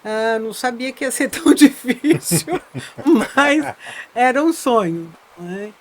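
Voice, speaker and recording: A woman's voice: fairly high pitch, lively intonation, unhurried, darkish tone. An older Brazilian woman, a veteran insurance broker, reflectively telling her life story as an interview guest, often adding tag questions. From Brazil, born in Minas Gerais, raised in São Paulo.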